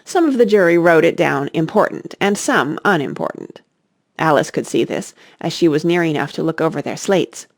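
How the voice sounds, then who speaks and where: A woman narrates a storybook with very lively, swooping intonation. Her voice sits a little low, with some creak, and the recording is close and dry. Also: normal speed, dry recording. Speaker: audiobook reader.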